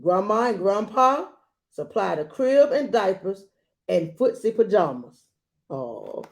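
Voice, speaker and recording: A woman reading a children's picture book aloud expressively and gently, adding small asides as she goes. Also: medium pitch, very animated, creaky. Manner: gentle, unhurried, adding commentary to listeners.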